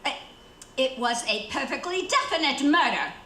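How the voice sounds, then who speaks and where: A woman's voice: sits high, animated, moderate pace, sharp tone, reverberant, a little hiss or hum. A woman playing a nervous, imperious bedridden wife in a radio thriller, agitated, stammering and insistent. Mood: frantic, nervous. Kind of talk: theatrical radio thriller acting.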